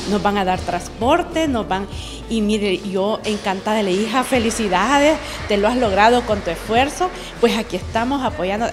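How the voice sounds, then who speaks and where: A middle-aged woman, a proud parent talking in a chatty way in an interview with a handheld mic. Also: mid-range voice. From El Salvador. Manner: chatty and proud, tells anecdotes. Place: university event hall, indoors.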